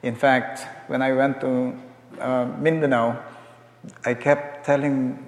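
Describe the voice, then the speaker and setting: A man's voice: mid-range voice, flat delivery, slow. An older man preaching a sermon with stories and humorous asides. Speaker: guest preacher. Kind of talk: sermon with storytelling. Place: church sanctuary. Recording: echoey church hall.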